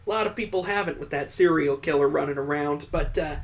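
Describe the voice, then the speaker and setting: Man doing a high-voiced, hearty old father-in-law. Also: fairly quick, close to an everyday voice. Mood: jovial, teasing. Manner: hearty, earnest, joking. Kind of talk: visual novel character read aloud.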